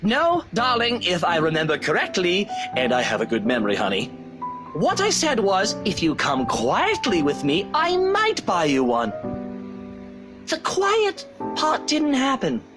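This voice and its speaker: A woman's voice: fairly low pitch, wildly swooping pitch, measured pace. A woman playing an exasperated, sardonic adult minding a small child in a visual novel, snide and theatrical with sugary pet names. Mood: condescending. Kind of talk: visual novel character acting. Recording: music under the voice.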